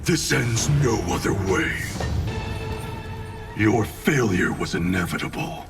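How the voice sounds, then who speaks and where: An actor playing a proud, stern warrior clan leader in a video game cutscene, curt and imperious. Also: male voice, fairly low pitch, animated, slow, vocal fry, heavily acted. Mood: cold disdain. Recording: distant voice under loud music. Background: loud music.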